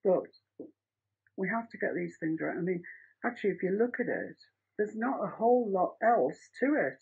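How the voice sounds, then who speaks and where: Woman, middle-aged, husky, chatty art teacher, home studio, dull lo-fi sound. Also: mid-range voice, expressive, moderate pace. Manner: chatty, rambling, practical. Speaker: painting teacher. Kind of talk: painting tutorial. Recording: muffled lo-fi home recording.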